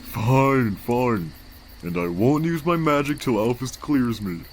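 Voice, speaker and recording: A man voicing a weary, wisecracking skeleton big brother in a comic dub, casual and halting, with a tender, secretly anguished streak. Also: mid-range voice, animated, slight background noise. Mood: gentle, serious. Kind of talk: comic dub character acting. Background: background music.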